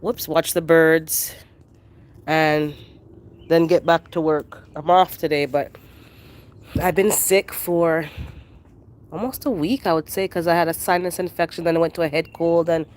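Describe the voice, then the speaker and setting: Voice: mid-range voice, steady tone, unhurried, long pauses. Congested nasal gardener chatting on a live stream. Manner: easygoing, rambling, chatty. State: congested, nasal from a head cold. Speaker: gardening livestream host. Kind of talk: casual live chat with viewers. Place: outdoors in a backyard garden.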